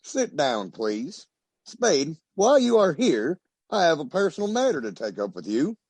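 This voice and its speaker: An actor playing a nervous, guilty wealthy husband with a husky voice and a flustered, theatrical manner in an old-time radio detective drama. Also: male voice, fairly high pitch, wildly swooping pitch, normal speed, a little mellow, dry recording, heavily acted. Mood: conspiratorial.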